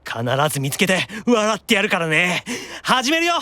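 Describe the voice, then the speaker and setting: A man acting out a sulky, excitable young otaku demon with a petulant, teasing manner in an improvised audio drama. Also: high voice, moderate pace, slight background noise, full character voice. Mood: gleeful, scheming.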